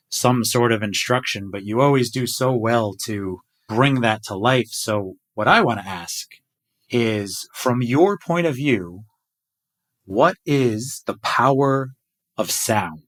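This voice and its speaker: A man hosting a podcast conversation, who asks long, reflective questions. His speaking voice is mid-pitched and ordinary, and his tone is relaxed. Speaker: podcast host. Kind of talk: casual chat.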